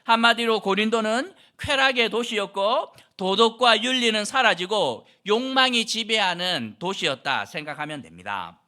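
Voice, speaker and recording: A man's voice: high-pitched, animated, moderate pace, few pauses, bright, forceful tone. A middle-aged male pastor from Andong preaching a sermon in an explanatory, conversational way, posing rhetorical questions to the congregation. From Andong, South Korea.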